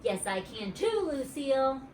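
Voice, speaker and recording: Bubbly storybook schoolgirl, acted by a woman: high squealy pitch, boastful, nosy, echoey room. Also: measured pace, slight background noise. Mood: defiant. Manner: squealy, childish, excitable. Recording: roomy echo, distant mic.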